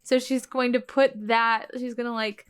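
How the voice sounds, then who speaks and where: A woman's voice: fairly high pitch, level tone, normal speed, a bit bright, clear, resonant tone. A woman playing a tabletop game, chatting casually and hesitantly about her character's moves. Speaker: player.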